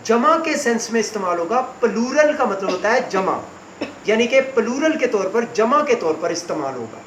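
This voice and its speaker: A man teaching spoken English to beginners, going word by word and repeating each meaning slowly. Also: high-pitched, roomy sound, muffled recording, slight background noise. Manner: slow, repetitive word-by-word explanation.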